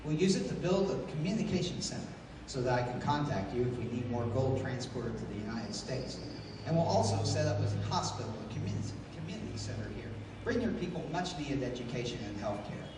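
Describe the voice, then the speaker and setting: An actor playing a calm, commanding heroic adventurer in a 1930s-style radio serial. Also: male voice, mid-range voice, a little fast, noisy background, natural voice. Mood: grave, sincere. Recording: distant echoey hall, music underneath.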